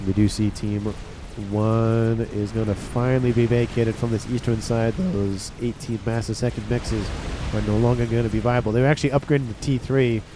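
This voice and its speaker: A man's voice: medium pitch, moderate pace, noisy background. A man with a nasal, stuffed-up voice from a cold, casually commentating a strategy game and talking to his viewers. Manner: relaxed, rambling, talks to viewers. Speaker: game caster.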